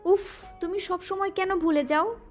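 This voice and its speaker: A woman's voice: very high voice, measured pace. A female voice actor playing a shy, teasing young wife in an audio romance drama. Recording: music bed underneath.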